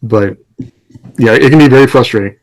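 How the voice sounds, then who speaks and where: A man's voice: medium pitch, a little fast, poor audio. A man co-hosting a podcast, explaining things in a conversational, advisory way. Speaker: podcast co-host and author. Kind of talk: casual explanatory advice in conversation.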